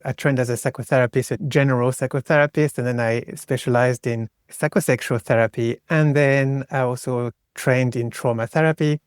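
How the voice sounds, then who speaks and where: A middle-aged man with a French accent talks as an interview guest, explaining things in a reflective, conversational way. His pitch sits in the middle of the male range, and the sound is close and dry, with no audible room. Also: clear, resonant tone, no room sound. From France. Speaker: podcast guest, psychosexual psychotherapist.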